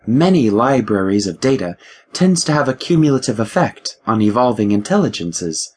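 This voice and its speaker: A man reading an audiobook, voicing a calm, curious female starship AI that speaks formally with a dry, sarcastic edge. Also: lowish voice, moderate pace, slightly rough, voice pushed up. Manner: formal, precise, dryly sarcastic. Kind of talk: audiobook character voice.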